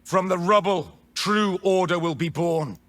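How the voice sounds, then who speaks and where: A man playing a bitter, defiant rebel mage in a video game, speaking deliberately and dramatically. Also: sits high, a bit bright. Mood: grim defiance. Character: defiant adult male mage rebel, bitter, grimly intense. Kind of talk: video game character voice lines.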